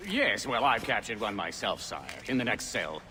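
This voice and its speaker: A man's voice: on the low side, big pitch swings, normal speed, bright, forceful tone, slight background noise. Wavering, deferential elder statesman. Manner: hesitant, obsequious. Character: nervous, deferential elder statesman under a tyrant. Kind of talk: video game cutscene acting.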